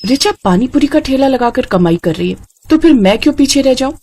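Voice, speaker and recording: A scheming young stepsister from a kids' cartoon played by a woman. Her voice sits high, and she talks like a boastful street vendor with a spiteful, sulky edge. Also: animated, fairly quick, slight background noise. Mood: jealous. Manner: loud, boastful vendor calls and sulky grumbling. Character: scheming jealous young stepsister running a juice cart. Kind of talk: children's cartoon story acting.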